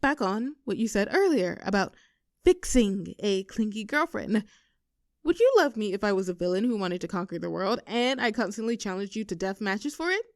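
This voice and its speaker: A woman playing a playful, clingy young girlfriend in an intimate roleplay audio, teasing and cooing in an animated, affectionate way. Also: mid-range voice, moderate pace, no room sound, studio quality. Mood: playful, mock-indignant. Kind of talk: intimate girlfriend roleplay audio, scripted one-sided conversation.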